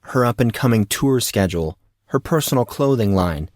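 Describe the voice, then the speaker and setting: A man's voice: medium pitch, animated, normal speed, a little mellow, no echo, clean studio sound. A man reading a scripted story as its narrator.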